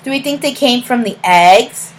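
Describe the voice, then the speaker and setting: A woman reading a storybook aloud as a teacher to young children, in a deliberate sing-song way, pausing to ask questions and give praise. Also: on the high side, lively intonation, slight background noise.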